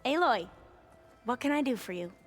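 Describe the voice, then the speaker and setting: A woman's voice: on the high side, lively intonation, moderate pace, faint music behind. A woman playing a thoughtful, earnest female scholar in a video game, speaking in a polite, articulate way. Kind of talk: scripted video game dialogue.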